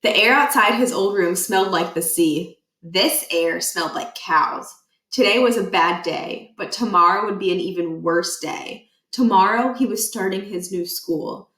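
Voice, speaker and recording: A woman reads a children's story aloud in a very bright, medium-pitched voice. She gives key words deliberate emphasis with a playful deadpan touch. The room around her is clearly echoey. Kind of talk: expressive children's book read-aloud.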